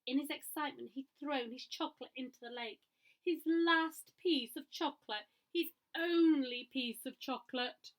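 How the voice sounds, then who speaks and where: A woman reading a picture book aloud to children in an expressive way, pausing to ask her listeners questions. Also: sits high. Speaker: storyteller.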